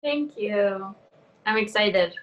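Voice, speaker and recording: Woman guest on a podcast, normal pitch, loose informal chatty way of talking. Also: animated, takes it slowly, dull audio. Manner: informal, frequent "like". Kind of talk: casual chat.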